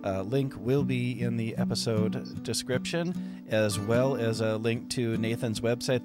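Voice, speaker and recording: A man hosting a podcast, chatting casually and joking, often laughing. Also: mid-range voice. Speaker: podcast host. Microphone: Skype call.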